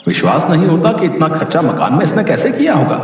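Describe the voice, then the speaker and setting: A man's voice: fairly high pitch, sing-song, quick pace, distant mic, voice pushed up. A man voicing a gossipy, sardonic neighbour in a cartoon story. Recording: very echoey, music underneath.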